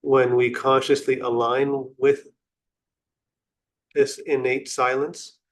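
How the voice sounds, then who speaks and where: A man leading a guided meditation in an unhurried, contemplative and instructional way, with long pauses between short phrases. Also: mid-range voice, flat delivery.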